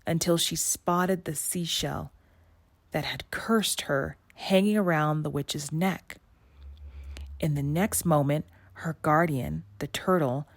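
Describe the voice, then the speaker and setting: A woman narrates a children's story in a low voice. She takes her time, with expressive phrasing and dramatic pauses. The sound is very dry. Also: dry recording. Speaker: storybook reader. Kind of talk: scripted read-aloud narration.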